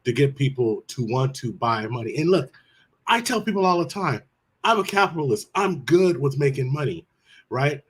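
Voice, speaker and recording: A man giving earnest commentary as a panelist, measured, stopping and restarting as he thinks. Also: medium pitch, sing-song, breathy edge.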